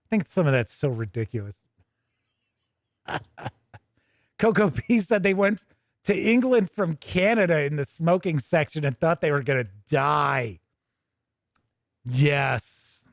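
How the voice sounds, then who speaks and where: Casting: American man, middle-aged gaming show host, slightly high voice, chatty livestream delivery, close and dry sound. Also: expressive, slow, gravelly, long pauses, no room sound. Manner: chatty, conversational, with playful asides. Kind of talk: casual livestream commentary.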